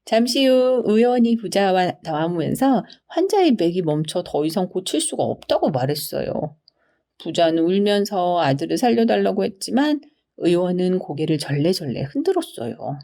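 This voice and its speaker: Female storybook narrator, soft-toned with lively melody, reading folktales to young children at bedtime. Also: medium pitch. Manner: storyteller's expressive, polite explaining delivery.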